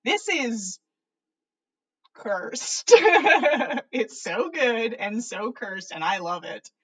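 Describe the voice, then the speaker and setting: A woman's voice: mid-range voice, very animated, extremely slow, breathy edge. A woman podcast host with an informal manner, reading stories aloud and chatting casually. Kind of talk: animated story reading and casual livestream chat.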